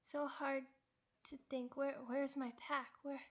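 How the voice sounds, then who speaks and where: A woman's voice: high voice, little pitch movement, takes it slowly. A woman acting a young werewolf pup girl in an ASMR roleplay, timid, earnest and sweet, with a hesitant, childlike way of talking. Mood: dazed, hungry, struggling. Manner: hesitant, simple childlike wording with stammered repeats.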